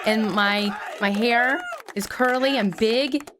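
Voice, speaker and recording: A young woman streaming and chatting casually with viewers, her voice slightly raspy after the flu, swearing freely and greeting people brightly. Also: fairly high pitch, takes it slowly, crowd noise, noisy background. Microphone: headset.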